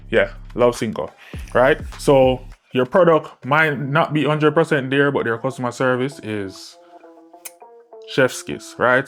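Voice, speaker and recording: A man giving a casual, conversational product review, often checking in with the listener with short tag questions. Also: medium pitch.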